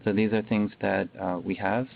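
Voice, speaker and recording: A man's voice: lowish voice, level tone, normal speed, creaky. A man giving a businesslike explanation as a company executive on an earnings call. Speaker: company executive answering questions. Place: conference call, heard through a livestream. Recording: tinny phone-like call audio, faint noise.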